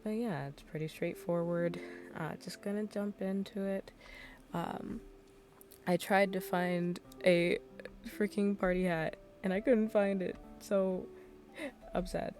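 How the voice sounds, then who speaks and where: A woman's voice: fairly low pitch, steady tone, slow, pauses often, darkish tone, soft background music. A woman livestreaming a game in casual, rambling chat, her voice a little worn out and tired.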